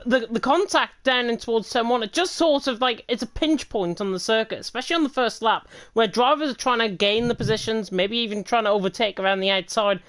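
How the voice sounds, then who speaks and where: Fast-talking woman race commentator. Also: fairly high pitch. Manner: fast-talking, lively and emphatic. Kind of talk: live sim racing commentary.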